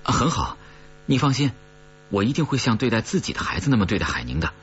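A man voicing an awkward, earnest, apologetic man in an audiobook, speaking haltingly and politely. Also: medium pitch, big pitch swings, fairly bright tone, husky, lo-fi sound, voice pushed up, exaggerated voice. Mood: reassuring, earnest. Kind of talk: audiobook character dialogue.

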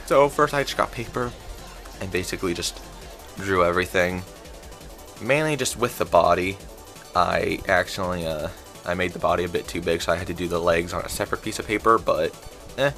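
A man with a low-pitched voice presents a homemade craft in a casual video. He talks informally and rambles, often halting mid-thought. Music plays behind him. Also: a bit bright. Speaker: craft video creator showing a homemade puppet. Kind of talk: casual showcase narration. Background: background music.

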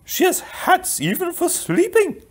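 A man voicing a fretful, reproving older queen in a storybook, with a plaintive, drawn-out delivery. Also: very high-pitched, huge pitch swings, pitched above the performer's own voice, exaggerated voice.

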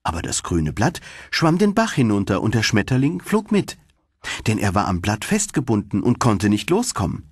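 Expressive deep-voiced male fairy-tale narrator. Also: normal speed, husky, no room sound, clean studio sound. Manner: expressive storytelling. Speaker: audiobook narrator.

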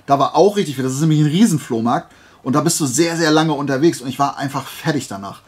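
A man's voice: fairly high pitch, lively intonation, fast talker. A man hosting a haul video, rambling casually to his viewers, often drifting off track and correcting himself. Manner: chatty, digressive, self-correcting. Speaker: flea market haul video host.

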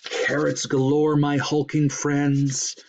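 A man voicing a cocky, scheming little squirrel in an animal story, brash, excitable and greedy. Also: fairly high pitch, normal speed, slight background noise, pitched above the performer's own voice, close to an everyday voice.

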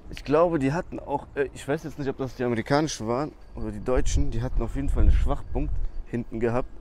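A young man filming himself at arm's length outdoors by a beach, chatting excitedly and in slang to his viewers as a travel vlogger. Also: mid-range voice, a little hiss or hum. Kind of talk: casual travel vlog. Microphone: handheld camera at arm's length.

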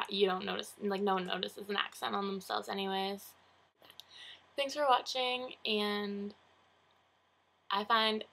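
A young woman from southern Ontario talks in a chatty, giggly way, her Canadian accent plain in every word. Her voice is bright and a little sharp, sitting at an ordinary pitch for a woman. She sounds close to a webcam mic in a small bedroom. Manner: chatty, lots of "like" fillers, giggly. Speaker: vlogger. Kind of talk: casual accent tag video. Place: bedroom, indoors. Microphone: webcam at close range.

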